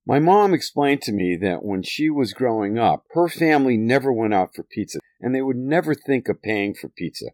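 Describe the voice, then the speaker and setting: Low-voiced man telling fond family memories. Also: no echo. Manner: fond, lively, with occasional chuckles. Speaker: storyteller. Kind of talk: nostalgic personal narration.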